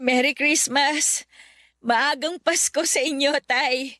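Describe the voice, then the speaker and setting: A woman's voice: sits high, steady tone, slow, bright, forceful tone, exaggerated voice. A woman playing a cheeky, loving daughter who teases her parents in a radio drama. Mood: playful, affectionate. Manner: teasing, jokey. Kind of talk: Tagalog radio drama acting. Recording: music behind the voice.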